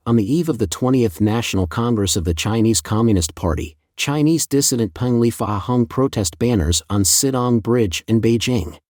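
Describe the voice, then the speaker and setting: A man narrating scripted news in a formal, measured, read-aloud manner. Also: mid-range voice, a little mellow, no room sound, clean studio sound.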